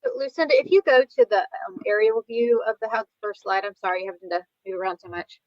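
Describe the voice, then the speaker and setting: A woman's voice: a little high, very animated, fast talker, no room sound. A woman working as a staff presenter, explaining images informally and carefully at an online public meeting. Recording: dull dry lo-fi online call.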